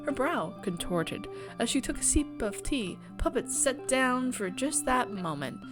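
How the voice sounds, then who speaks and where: A woman's voice: high-pitched, lively intonation, measured pace. A woman reading a visual novel aloud as a streamer, mixing story narration with chatty asides. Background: music.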